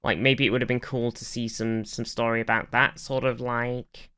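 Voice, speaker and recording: Podcast co-host, middle-pitched and smooth, conversational, feels out each phrase with halts and hedges. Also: flat delivery, normal speed, sharp tone. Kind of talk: casual chat.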